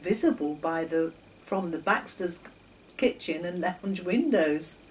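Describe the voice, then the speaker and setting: Animated older English woman storyteller, unhurried. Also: lowish voice, a little hiss or hum. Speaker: children's storyteller. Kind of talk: expressive read-aloud storytelling for children. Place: home kitchen, indoors. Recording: distant microphone in a room.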